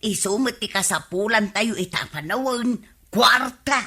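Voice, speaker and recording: A nosy, grasping Filipino village wife in radio comedy. Her voice is high and bright with a husky edge. She exclaims and complains in a bickering way. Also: unhurried, exaggerated voice. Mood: nosy, scheming.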